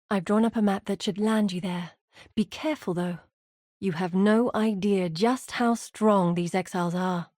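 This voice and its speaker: A woman's voice: mid-range voice, warm tone, no echo, close to an everyday voice. A weary, earnest woman explorer from a fantasy video game, played by an actress. Her voice sits in a medium register and her words are carefully articulated, with a grave storyteller's weight. The recording is very dry, with no room around her. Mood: businesslike. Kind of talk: scripted video game voice acting.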